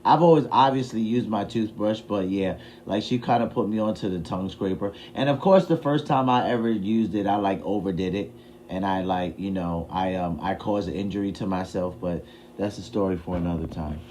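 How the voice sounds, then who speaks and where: Chatty low-voiced man hosting reactions. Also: fairly quick, a little mellow, slight background noise. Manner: chatty, folksy, faith-minded. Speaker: reaction channel host. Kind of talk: casual commentary on a clip.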